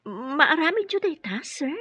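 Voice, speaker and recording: An actress playing a dutiful, deferential nurse and mother in a radio drama, husky, earnest and respectful. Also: high voice, big pitch swings, unhurried, pushed and bright, full character voice. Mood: worried.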